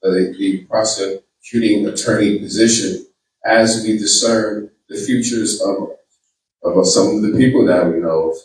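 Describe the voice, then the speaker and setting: Boston guy giving a stump speech like a preacher, lower voice, faint room noise behind. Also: fairly even intonation, unhurried, a little mellow. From Boston, Massachusetts. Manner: earnest, repetitive, preacherly cadence. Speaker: political candidate. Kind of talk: campaign speech. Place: community hall. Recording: echoey hall, mic from far off.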